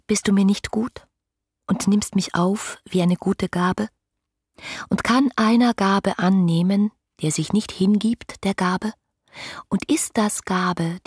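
A young woman who pours out her love in letters for a radio play. Her voice is husky with breath and often sinks toward a whisper. She is ardent, poetic and intimately confiding. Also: medium pitch, a little mellow. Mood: shy yearning. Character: devoted, yearning young woman writing love letters to an older poet. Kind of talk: literary radio drama, letters read as intimate monologue.

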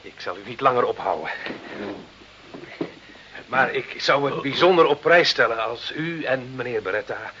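A muffled, hissy old radio play recording carries a timid male petitioner. He is courteous and halting, deferring to authority in a bright, tense voice at a middling pitch. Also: lively intonation. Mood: polite, grateful. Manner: hesitant, deferential, polite. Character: timid, polite male petitioner before an official.

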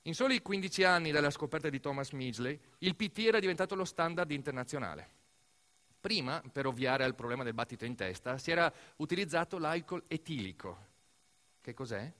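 A man narrating a scripted theatrical monologue, telling the story in an emphatic, expressive way. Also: a little high, fairly quick. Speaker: actor-narrator of a solo theatre piece.

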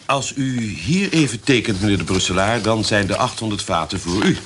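An actor playing an obliging merchant's agent in a Dutch historical radio play, formal and polite, with a husky voice. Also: male voice, fairly low pitch, animated, normal speed, background noise, lightly acted. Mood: courteous, obliging. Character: courteous, obliging merchant's agent at trading house.

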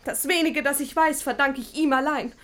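An actress playing a naive, candid young ward in a German radio verse comedy, artless and gently defiant. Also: female voice, high voice, moderate pace. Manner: artless, frank, theatrical verse delivery.

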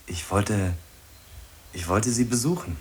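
A man's voice: fairly low pitch, a little mellow, breathy edge. An actor playing a hesitant, lonely, obsessive man who stumbles and repeats himself in a radio play. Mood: awkward, pleading. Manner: halting, repeats himself, muttering to himself.

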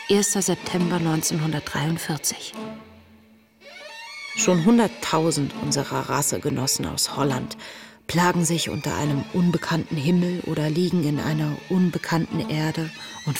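A woman playing a compassionate young camp inmate reading out her letters, measured and reflective, in a radio play. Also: low voice, creaky, over music. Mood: sombre, reflective. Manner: reflective, measured, sometimes hushed. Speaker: lead actress, letter reader. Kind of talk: intimate literary letter narration in a radio play.

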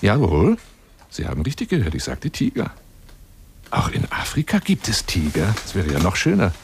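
A man's voice: sits low, wildly swooping pitch, moderate pace, husky, full character voice. An actor in a radio monologue playing a florid, wheedling lion hunter who courts a woman over the phone in an intimate, theatrical way. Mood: insistent, pompous. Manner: grandiloquent, wheedling, intimate, dropping into whispers.

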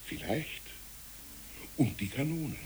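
A man playing a troubled, gentle old village pastor in a German radio play, hesitant and brooding, moving between murmur and declamation. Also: medium pitch, animated, slow, slight background noise, rough recording. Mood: kindly.

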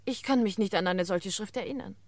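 An actress playing an unhappy, detached widow in a radio crime play, speaking formally and with measured wistfulness. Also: female voice, mid-range voice, a little hiss or hum, lightly acted.

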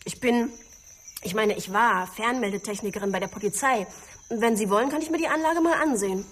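An actress playing a matter-of-fact refugee and former police technician, earnest and direct, in a radio drama. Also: female voice, medium pitch, a little fast, fairly bright tone, natural voice. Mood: direct, willing. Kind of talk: German radio drama acting. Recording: faint background ambience noise.

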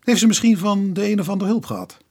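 Picture an earnest male police inspector in a radio play. His husky voice sits a little high and moves up and down a lot, polite and formal while he keeps pressing. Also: lively intonation, quick pace, a little mellow. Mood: polite, probing. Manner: formal, careful. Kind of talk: Dutch radio drama acting.

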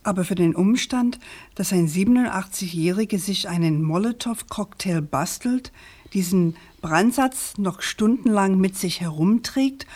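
An actress playing a persistent, probing investigative journalist in a naturalistic radio crime drama, questioning insistently and deliberately. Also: female voice, mid-range voice, darkish tone.

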